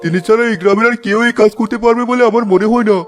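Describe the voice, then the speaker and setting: A man's voice: sits high, steady tone, rapid-fire, soft background music, natural voice. A man voicing an urgent, eager village messenger in a cartoon. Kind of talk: cartoon voice acting.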